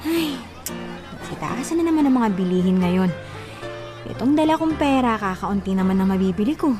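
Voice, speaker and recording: A woman's voice: medium pitch, animated, normal speed, over music, natural voice. Woman doing a tired housewife pinching pennies, sighs a lot, waves off questions, radio drama. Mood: weary. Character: defensive married woman on a tight budget.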